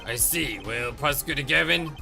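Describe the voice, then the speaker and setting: Gravelly old judge, as a man voices him. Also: mid-range voice, over music, close to an everyday voice. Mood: stern. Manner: formal, measured, deliberate. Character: stern older male judge.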